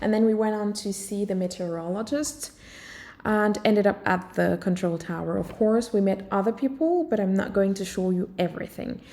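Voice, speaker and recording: Mellow-voiced woman narrating a vlog. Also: medium pitch, normal speed. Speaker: air traffic controller hosting a vlog. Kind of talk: casual vlog narration and explanation.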